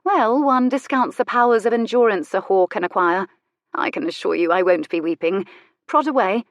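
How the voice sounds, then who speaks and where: A woman's voice: mid-range voice, normal speed, no echo, lightly acted. A woman acts out a worldly young widow and courtesan from a romance storybook. She is cool, bold and unflappable, with playful wit. The recording is close and dry. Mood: composed, bold.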